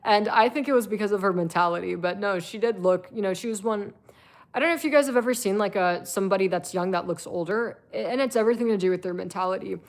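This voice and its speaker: A woman's voice: mid-range voice, fast talker. A woman in her twenties giving a blunt, chatty live-stream monologue, full of fillers and swearing. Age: 20s.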